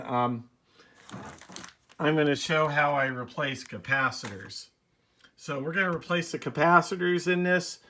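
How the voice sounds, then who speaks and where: A man hosting a hobby video, explaining casually and unscripted, with halting pauses mid-sentence. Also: on the high side, a bit bright.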